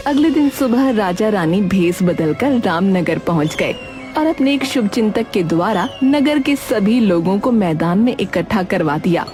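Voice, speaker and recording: A woman's voice: mid-range voice, animated, unhurried, music in the background. A woman narrating a scripted children's moral story.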